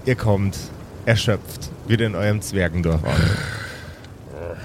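A man acting as game master, narrating an improvised tabletop game in a casual way. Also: slow. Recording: clear rumbling ambient noise behind.

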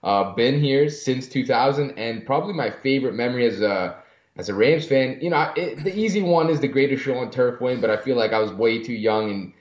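A young man in his twenties, raised in Canada, calmly talking football as a podcast guest. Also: medium pitch, brisk. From born in Germany, raised in Canada. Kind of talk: casual sports podcast talk. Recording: muffled echoey remote line.

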